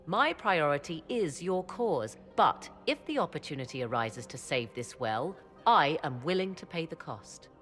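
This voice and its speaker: Game role: a dry, aloof witch, very low voice with lively swings, faint music behind. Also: unhurried, hardly any pauses, exaggerated voice. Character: cool, sardonic female witch scholar.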